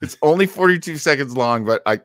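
A man's voice: mid-range voice, expressive, a little fast, fairly bright tone. A middle-aged man hosting a show on a video call, reading quotes aloud and introducing clips. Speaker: podcast host. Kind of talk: casual chat on a video call. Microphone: headset and studio mic.

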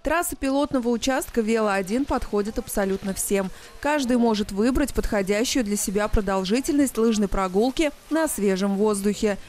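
A woman reading a scripted local news voice-over in an even, clear way. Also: mid-range voice, normal speed, hardly any pauses, a little hiss or hum. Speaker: news reporter.

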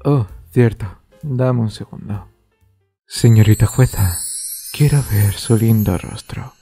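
Man as a low, husky, composed teenage boy. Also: slow pace, mellow, soft background music, exaggerated voice.

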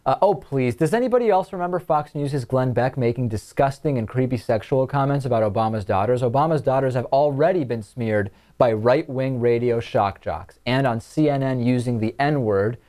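A young American man hosts a political talk show from a small studio. His voice is soft-edged and mellow, at an ordinary male pitch, with a presenter's delivery. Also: normal speed, few pauses. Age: 20s.

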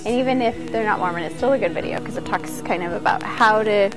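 A woman's voice: medium pitch, quick pace, loud music behind the voice, rough recording. A woman giving an informal instructional talk as a yoga teacher, conversational and earnest.

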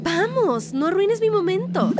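A Latin American woman voicing a bossy, competitive moon creature with a taunting, expressive delivery in an animated fan dub. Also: very high voice, unhurried, heavy background noise, exaggerated voice. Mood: scheming.

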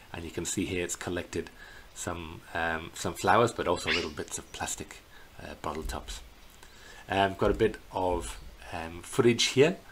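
A middle-aged man giving an unscripted slideshow narration as a tour guide, in a hesitant, halting way, recorded indoors on a computer microphone. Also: deep voice. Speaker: bird tour guide presenting a virtual tour. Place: indoors in a small plain room. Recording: clear background noise.

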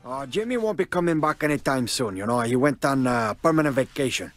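A man's voice: mid-range voice, animated, fairly quick, fairly bright tone, no room sound, natural voice. A man playing a brash, menacing drug kingpin with a Cuban accent and a cocky, profane manner in a video game. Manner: cocky, profane, tough-talking. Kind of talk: video game cutscene acting. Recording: game music behind the voice.

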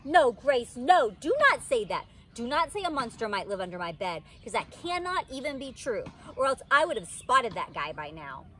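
Woman playing an anxious, animated little schoolgirl. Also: on the high side, normal speed, few pauses. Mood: upset, exasperated. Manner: pleading, insistent, repeats herself, childlike grammar. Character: anxious, pestering little schoolgirl narrating her own troubles. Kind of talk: children's storybook read-aloud, dramatized. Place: outdoors in a wicker pod chair under trees. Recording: outdoor ambient noise.

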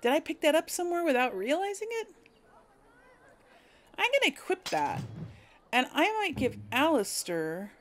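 A woman's voice: on the high side, slight background noise. A woman giving casual game commentary, thinking aloud in a hesitant, musing way as she hosts. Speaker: gaming video host.

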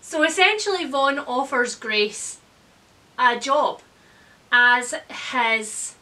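A woman with a very bright, forceful voice that sits a little high. She talks casually as a book reviewer, blunt and wry, happy to give her opinion. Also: expressive, extremely slow. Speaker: book reviewer, video host. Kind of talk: casual chatty book discussion. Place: indoors, room lined with bookshelves.

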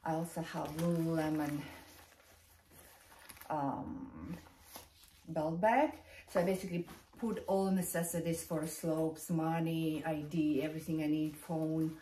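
Middle aged lady vlogging, slow halting chat, sounds far from the mic in a room. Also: sits low, flat delivery, dark tone. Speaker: fashion vlogger. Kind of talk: casual vlog chat while showing items. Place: large carpeted bedroom indoors. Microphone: camera a few feet away. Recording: roomy echo, distant mic, light noise.

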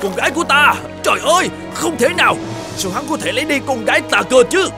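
A man's voice: very high voice, lively intonation, quick pace, music in the background. An actor playing a hot-tempered, domineering middle-aged innkeeper and vengeful father in a cartoon folk tale, blustering and threatening. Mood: desperate, anguished.

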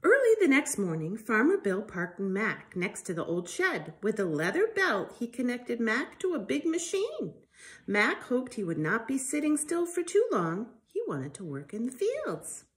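A middle-aged woman reading a children's storybook aloud in an expressive, emphatic storytelling style. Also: fairly high pitch, measured pace. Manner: animated, emphatic delivery with sound effects. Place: living room by a fireplace, indoors.

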